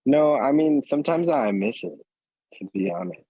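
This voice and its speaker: A man hosting a casual interview podcast in a relaxed, laid-back way, laughing easily. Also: medium pitch, moderate pace, husky, dry recording, muffled recording. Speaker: host.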